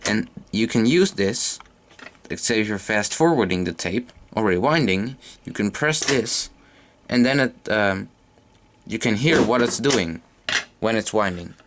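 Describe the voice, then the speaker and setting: A man's voice: lowish voice, lively intonation, a little slow. A man casually talking through an unscripted hands-on gear demonstration, a little hesitant as he goes. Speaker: hobbyist demonstrating vintage audio gear. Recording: noisy amateur camera sound with clicks.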